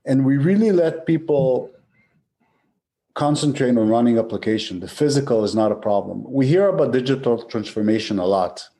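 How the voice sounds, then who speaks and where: Mellow-voiced male executive from Israel. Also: mid-range voice, animated, moderate pace. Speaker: data center company executive. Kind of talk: interview answers.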